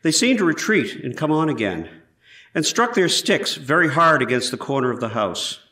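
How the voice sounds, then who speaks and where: A man playing an 18th-century surgeon who gives measured, precise testimony in a scripted trial re-enactment. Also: fairly high pitch, sing-song, bright, forceful tone. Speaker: actor in a historical trial re-enactment. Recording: roomy echo, distant from mic.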